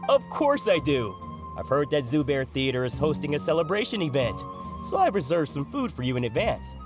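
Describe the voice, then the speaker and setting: A hearty game food seller, lively bouncing delivery, welcoming, music underneath. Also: male voice, fairly high pitch, fairly quick. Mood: cheerful. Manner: jovial and welcoming. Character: friendly middle-aged male food vendor, hearty. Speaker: game character.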